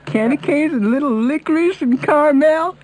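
A man's voice: extremely high pitch, moderate pace, a little mellow. A man improvising a prank phone call, hesitant and repetitive, pleading with the person on the line. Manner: hesitant, repetitive, pleading, addressing listener by name. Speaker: prank caller. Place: on a telephone call. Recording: prank call recorded over telephone.

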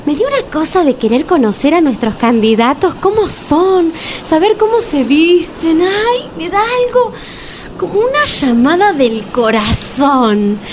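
An excitable, gushing woman on a blind date, as an actress plays her. She sighs and exclaims in a high, bright Rioplatense voice, getting swept up dramatically in everything around her. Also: a little slow, background noise, full character voice. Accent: Rioplatense Spanish.

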